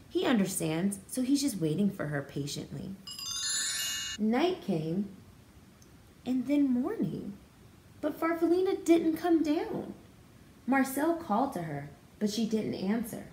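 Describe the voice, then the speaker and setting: A woman's voice: a little high, warm tone. An American woman in her thirties, a pre-K teacher, reading a picture book aloud and explaining it expressively to children. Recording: echoey room with faint background noise.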